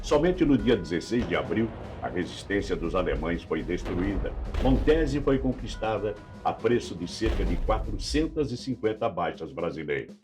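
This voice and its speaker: A man's voice: low-pitched, very animated, normal speed, few pauses. A man with a Brazilian accent narrating a scripted documentary in a solemn, dramatic, rhetorical manner. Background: background music.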